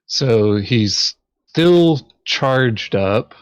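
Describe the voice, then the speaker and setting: A man's voice: mid-range voice, expressive, very slow, clean tone, no room sound. A man playing a board game, chatting casually and hesitantly as he thinks his moves through aloud. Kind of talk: casual game table chat.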